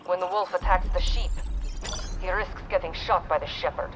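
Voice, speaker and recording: A woman's voice: lowish voice, moderate pace, background noise, natural voice. Woman playing a stern, terse mercenary. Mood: cold, deadpan. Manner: terse, deadpan, formal without contractions. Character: stern female foreign mercenary, terse and ruthless. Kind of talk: video game voice acting.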